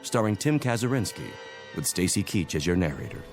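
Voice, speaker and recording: A man's voice: deep voice, sing-song, a little fast. A man announcing a radio drama in a scripted introduction. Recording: voice over clear music.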